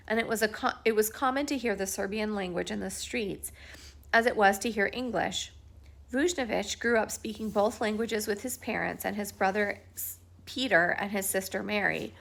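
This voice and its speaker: A woman reading a history book aloud at home, at an unhurried pace and with small restarts. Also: medium pitch, vocal fry, fairly bright tone. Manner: firm, scolding talk to a pet between passages. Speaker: reader of a book.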